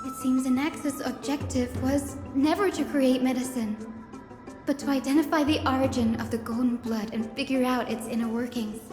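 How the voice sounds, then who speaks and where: High-voiced earnest young woman acted out in echoey reverb. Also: fairly even intonation, lightly acted. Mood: pondering. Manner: deliberate, dramatic reasoning aloud. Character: earnest, sharp-minded young woman investigator piecing clues together. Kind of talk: scripted video game voice acting. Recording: echoey hall reverb under loud music.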